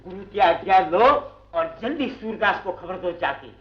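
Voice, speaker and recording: An actor playing a greedy, wily go-between for a guru, sanctimonious and coaxing, in old Hindi film comedy style. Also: male voice, high voice, lively intonation, normal speed. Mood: coaxing, sly. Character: wily, greedy sham holy man's mouthpiece. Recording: dull 1950s film soundtrack.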